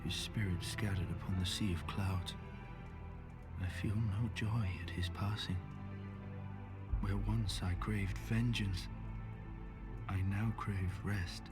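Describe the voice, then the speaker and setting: A beaten fantasy game knight, speaks with solemn weariness. His breathy delivery carries grief in archaic, formal phrases. Clear music plays behind him. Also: low voice, steady tone. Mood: weary, sorrowful. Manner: slow archaic, solemn speech. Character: exhausted, grieving dragon-slaying knight, solemn and beaten. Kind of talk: dramatic video game acting.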